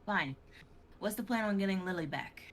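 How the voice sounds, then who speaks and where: A woman's voice: medium pitch, expressive, moderate pace, a little hiss or hum, lightly acted. A woman playing a caring soldier in a dramatic reading of a sci-fi script. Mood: businesslike.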